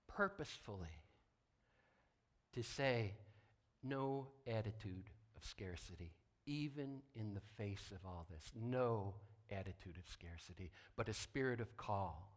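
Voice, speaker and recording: A man preaching a sermon as a pastor, deliberate and emphatic, with dramatic pauses and hushed drops. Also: lowish voice, lively intonation.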